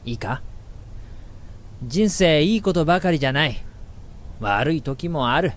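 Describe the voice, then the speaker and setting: A man playing a gruff, preachy father in a radio drama, speaking in a blunt, emphatic, declamatory way. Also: a little high, close to an everyday voice. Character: gruff, preachy father lecturing his young son. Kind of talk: scripted radio drama narration and dialogue.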